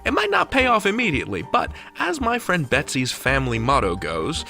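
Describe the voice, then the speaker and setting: A man's voice: a little high, huge pitch swings, brisk, gravelly, sharp tone. A man hosting with playful scripted narration, theatrical and tongue-in-cheek in delivery. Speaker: podcast host and narrator. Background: faint music.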